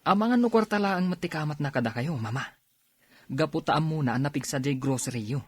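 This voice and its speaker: An actor with an Ilocano accent playing a protective, supportive grown son in a radio drama. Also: male voice, on the high side, lively intonation, brisk, slightly rough, no room sound.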